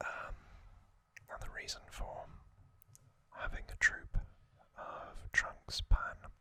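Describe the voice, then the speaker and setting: A man whispering as an ASMR host, breathy and unvoiced, talking off the cuff in a halting, reflective way. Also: bright, forceful tone, no room sound. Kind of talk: ASMR, unscripted whispered commentary.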